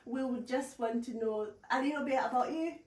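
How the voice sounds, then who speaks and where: High-pitched host from Uganda, friendly and laughing, echoey room, mic at a distance. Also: female voice, brisk, darkish tone. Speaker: podcast host. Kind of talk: casual podcast interview. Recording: roomy audio from a distant mic.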